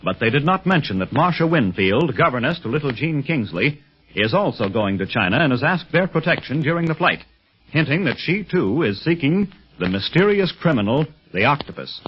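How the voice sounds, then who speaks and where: A man's voice: mid-range voice, sing-song, brisk. A man narrating a scripted 1930s radio adventure serial as its announcer. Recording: muffled 1930s radio recording, noisy music bed. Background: music.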